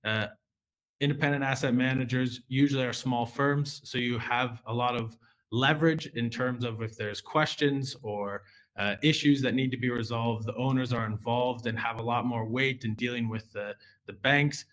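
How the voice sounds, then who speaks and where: A man's voice: mid-range voice, fairly even intonation, moderate pace, fairly bright tone. American man presenting a finance webinar. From United States. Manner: conversational, with frequent fillers and restarts. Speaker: junior relationship manager.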